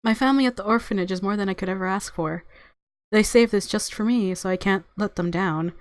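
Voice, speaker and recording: A woman's voice: medium pitch, a little fast, no room sound. Woman narrating a game, close and dry. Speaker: gaming video host. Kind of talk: reading visual novel narration aloud with casual reactions. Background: game music.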